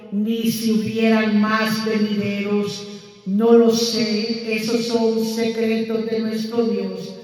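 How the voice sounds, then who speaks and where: An older woman from Guatemala, earnestly pleading as she preaches an evangelistic sermon and shares her testimony. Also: a little high. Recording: echoey hall from far off with soft music.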